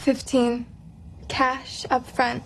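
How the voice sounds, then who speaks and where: A woman's voice: sits high, monotone, drawn-out words, creaky, heavily acted, rough recording. An actress playing a blunt, streetwise young woman from Brooklyn in a film trailer, heard over music. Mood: brash.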